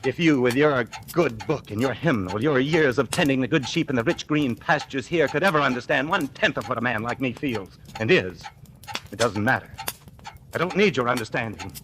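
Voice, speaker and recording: An actor playing a cold, calculating male killer who poses as a doctor, in measured, smooth 1940s radio acting. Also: medium pitch, lively intonation, background noise, close to an everyday voice. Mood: sneering. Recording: old radio broadcast with music underneath.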